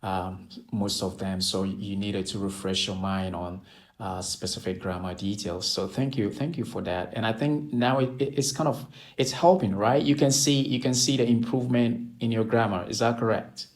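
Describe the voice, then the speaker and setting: A man hosting an online conversation group, warmly recapping and rephrasing what speakers tell him. His voice sits a little low, and he checks in often with "right?" tags. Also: moderate pace. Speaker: host. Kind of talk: online conversation party chat.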